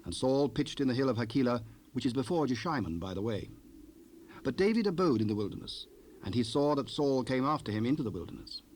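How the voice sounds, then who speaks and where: A man narrating King James scripture in a measured, formal storytelling manner. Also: medium pitch, lively intonation, a little mellow. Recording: unprocessed cassette rip with noise.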